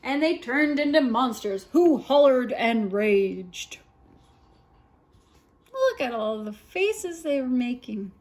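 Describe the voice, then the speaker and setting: High-voiced woman reading slowly, very animated. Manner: expressive, talking to a small child. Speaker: storyteller reading a picture book to children. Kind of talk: read-aloud story time with asides to a young child.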